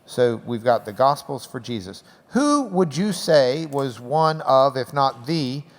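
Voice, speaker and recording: A man interviewing a guest in a deliberate, folksy, playful way. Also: medium pitch, expressive. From Texas. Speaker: interviewer, event host. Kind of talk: conversational public interview. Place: large hall event with sound system. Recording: echoey large hall.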